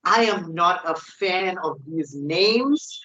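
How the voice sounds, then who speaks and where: A man's voice: high-pitched, animated, slow, bright, forceful tone, a little hiss or hum. Ranting man on a lo-fi podcast. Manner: opinionated, ranting. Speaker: podcast co-host. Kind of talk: casual wrestling predictions chat. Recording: dull lo-fi sound.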